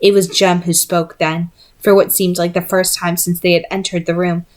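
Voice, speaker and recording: A woman's voice: lowish voice, little pitch movement, normal speed, a little mellow. A woman narrating a novel in a scripted, read-aloud storytelling style. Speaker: audiobook-style reader.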